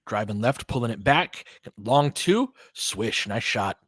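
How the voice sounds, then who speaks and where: A man's voice: medium pitch, expressive, slow, a bit bright, no echo, studio quality. A man doing casual live basketball play-by-play in clipped phrases, with conversational analytical asides. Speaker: play-by-play commentator and host.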